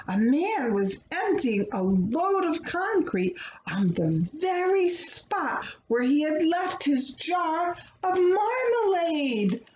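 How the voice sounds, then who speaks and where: A woman reading a children's book aloud in an expressive, dramatic way, as if explaining to young listeners. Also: high-pitched. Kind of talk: expressive storybook read-aloud with asides explaining words. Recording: roomy echo, clear background noise.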